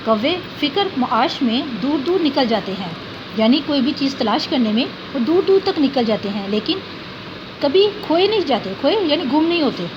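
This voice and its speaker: Woman teacher, fairly high voice, reads a text line by line and explains hard words, dull lo-fi recording with steady noise behind. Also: moderate pace. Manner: reads a sentence, then glosses hard words with "yani". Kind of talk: reading a text aloud and explaining words for students.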